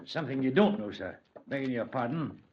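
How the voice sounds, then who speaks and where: Man as Scots station sergeant, ex-guardsman, deferential, lively tune, dull old radio sound. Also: medium pitch, brisk, slight background noise, close to an everyday voice. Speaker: actor in radio drama. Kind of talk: 1950s radio drama acting. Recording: dull lo-fi 1950s radio recording.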